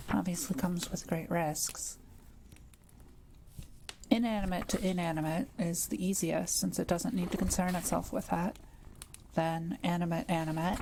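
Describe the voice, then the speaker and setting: A woman playing a kind, thoughtful witch who is proud of her skill, speaking gently and a little hesitantly to the listener in an intimate audio roleplay. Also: fairly low pitch, vocal fry, slight background noise. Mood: absorbed, explaining. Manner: gentle, hesitant, explanatory musing with small hums.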